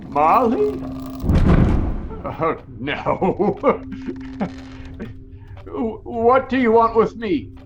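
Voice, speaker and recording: An actor playing a grumpy, miserly old employer in an audio play, with a grudging, curmudgeonly manner. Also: male voice, high voice, very animated, extremely slow, pauses often, highs cut off, music in the background, full character voice. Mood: frightened.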